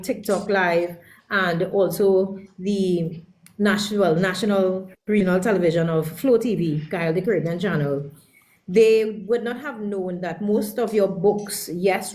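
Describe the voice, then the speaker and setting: A woman hosts a live interview at a mid-range pitch. She sets up her questions with long lead-ins for the viewers before handing over to her guest. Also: a little mellow, rough recording. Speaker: talk show host.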